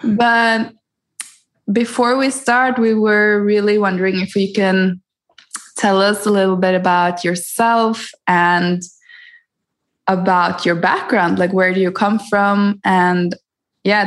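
A woman's voice: medium pitch, steady tone, a little slow. Enthusiastic woman hosting a podcast. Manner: warm, enthusiastic, laughs easily. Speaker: podcast host. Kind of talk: podcast intro and interview.

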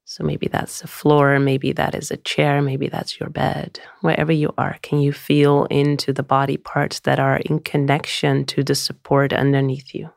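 A woman guiding a meditation in a soothing, gentle, unhurried way, often trailing into a breathy near-whisper. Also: low voice, completely flat delivery, dry recording, clean studio sound. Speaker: meditation guide. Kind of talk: guided meditation.

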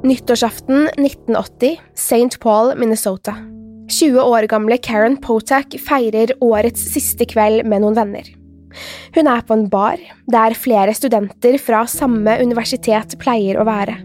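A woman hosts a true crime podcast in a fairly high voice. She reads from a script as a narrator, with faint music behind her. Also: fairly even intonation, darkish tone.

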